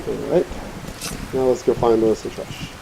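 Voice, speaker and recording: A man's voice: mid-range voice, little pitch movement, normal speed, vocal fry, noisy background. A man giving casual, rambling, laid-back commentary as he hosts a let's play. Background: game music and rain.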